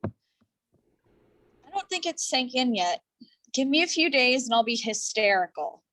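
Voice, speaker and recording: A woman's voice: high-pitched, sing-song, measured pace, fairly bright tone, exaggerated voice. A woman in a staged play reading, playing a dry, wry young woman working in film.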